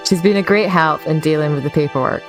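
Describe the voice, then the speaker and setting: A woman plays a conscientious starship security chief with a low voice, dictating her log in a dry, informal way. She is easily exasperated, and music plays clearly beneath her in this sci-fi audio game. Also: quick pace. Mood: measured, reflective. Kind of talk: in-character scripted log narration. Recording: music under the voice.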